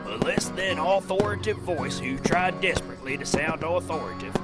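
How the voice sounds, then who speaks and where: Man as a high-pitched folksy narrator over guitar. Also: fairly quick, close to an everyday voice. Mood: wry. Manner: wry, florid storytelling. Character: folksy, wry storyteller of a Western comedy. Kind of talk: scripted narration. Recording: loud plucked guitar music under voice. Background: plucked guitar music.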